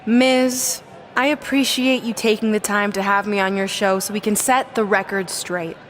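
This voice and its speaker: A woman's voice: medium pitch, fairly even intonation, moderate pace, a little hiss or hum, close to an everyday voice. A woman playing a haughty, condescending Hollywood actress and fighter in a wrestling video game, speaking theatrically. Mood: smug, aggrieved.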